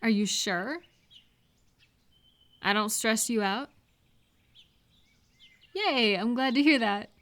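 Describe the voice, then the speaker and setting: A woman acting a caring, cheerful friend in a scripted roleplay audio, talking gently and attentively to the listener. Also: fairly high pitch, expressive. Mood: glad. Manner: gentle, unhurried, attentive questioning with pauses for replies.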